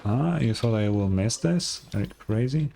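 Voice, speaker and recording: A man's voice: medium pitch, expressive, moderate pace, gravelly, warm tone. Male let's-player, mid-range voice, casual and informal delivery, music in the background. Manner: informal, occasional swearing. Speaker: gaming streamer reading game dialogue aloud. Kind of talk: casual gameplay commentary with dialogue read aloud.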